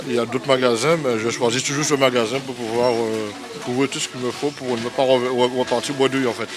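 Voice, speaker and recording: Guy from the french antilles, mid voice, halting shopper answering questions, repeats words. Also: medium pitch. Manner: halting, repetitive. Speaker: customer interviewed. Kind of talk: casual on-the-spot interview.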